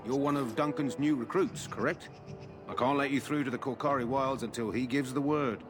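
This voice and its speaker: A man's voice: mid-range voice, steady tone, brisk, lightly acted. A man voicing a blunt, chatty army guard in a fantasy video game. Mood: eager, gossiping. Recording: game music behind.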